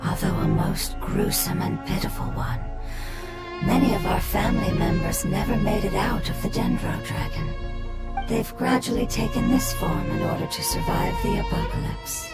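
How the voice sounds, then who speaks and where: Gentle caring forest spirit girl, lively lilt, loud game music. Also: high voice, moderate pace, full character voice. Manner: soft, explanatory, compassionate.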